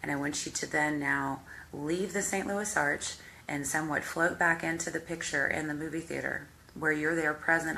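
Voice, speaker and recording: A woman hypnotherapist with a deep voice, guiding the listener through a visualization in slow, calm, step-by-step instructions. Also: gravelly, a little hiss or hum. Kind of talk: guided visualization exercise.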